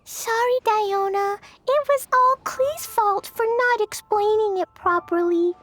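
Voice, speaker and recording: A woman's voice: very high-pitched, slow pace, a bit bright, full character voice. A woman voicing a sweet, earnest little girl with a childlike manner in a video game. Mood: apologetic. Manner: childlike, refers to self in third person.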